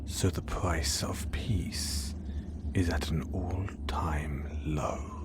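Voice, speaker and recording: A deep-voiced, obsessive supervillain, acted by a man, who whispers close to the mic in a slow, hushed hiss. His manner is smooth and coaxing, with calm menace under every line. It fits an audio roleplay. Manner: smooth, coaxing, quietly threatening.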